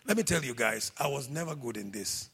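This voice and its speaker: A middle-aged man with a Kenyan accent, preaching a sermon in a lively, exhorting way and calling out for amens. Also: mid-range voice, a little fast, creaky, slightly rough. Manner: lively, exhorting, calls out for amens, quotes scripture.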